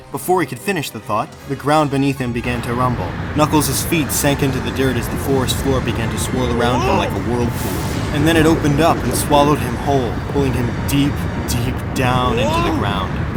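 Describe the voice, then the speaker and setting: A man's voice: mid-range voice, moderate pace, few pauses. A man narrating an adventure audiobook with dramatic scripted delivery. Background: clear background music.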